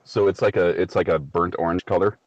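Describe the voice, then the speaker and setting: A man's voice: deep voice, fairly even intonation, fairly quick, dry recording, lo-fi sound. A man from the United States chatting casually as a live chat guest, laid-back, blunt and jokey.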